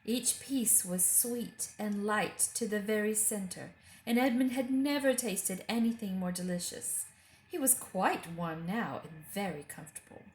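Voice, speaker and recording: A woman reading a children's book aloud as an expressive storyteller. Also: medium pitch. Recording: roomy echo.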